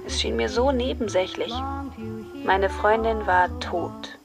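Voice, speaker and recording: A woman's voice: mid-range voice, slow pace, gravelly, music in the background. An actress playing a grieving, numb teenage girl in a radio drama monologue, with a subdued, detached manner. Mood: numb grief. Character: grieving, numb teenage girl recalling her dead friend.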